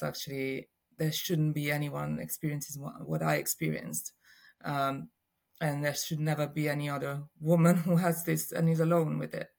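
Italian woman in her forties, guest psychologist, gravelly and halting. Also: low-pitched. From Italy. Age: 40s. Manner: hesitant, many "uh" and "um" fillers. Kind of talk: casual interview.

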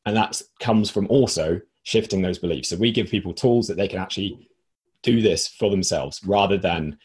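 A man's voice: sits low, normal speed, darkish tone, breathy edge. A man co-hosting a podcast, talking casually and explaining ideas through everyday analogies while losing his voice. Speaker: podcast co-host.

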